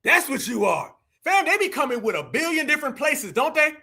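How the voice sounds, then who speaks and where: A man's voice: very high-pitched, very animated, fairly quick, gravelly, pushed and bright. An American man hosting a live stream, reacting to a clip with combative, mocking commentary in slangy speech addressed to his viewers. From United States. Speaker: livestream commentator.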